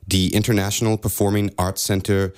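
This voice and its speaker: A middle-aged American man with a very low voice. He is a native English speaker with an American accent, explaining things as a studio interview guest in non-native phrasing. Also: level tone, brisk, a little mellow. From rural upstate New York, USA. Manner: non-native German with grammatical slips. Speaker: theatre director, interview guest. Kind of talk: radio interview. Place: radio studio.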